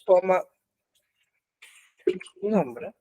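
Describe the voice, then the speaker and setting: A man teaching an online web development class, explaining code slowly with frequent pauses and fillers. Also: high-pitched, lively intonation, warm tone, dry recording, highs cut off. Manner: slow, halting explanation with many pauses and fillers. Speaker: programming instructor. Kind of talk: live online coding class. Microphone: computer mic in an online class.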